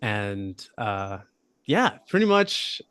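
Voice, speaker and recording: A young man from Australia, a podcast guest, talking in a relaxed, thoughtful way with lots of fillers and hedges. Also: fairly low pitch, very slow, clean tone, dry recording. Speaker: podcast guest, app founder. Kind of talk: casual interview conversation.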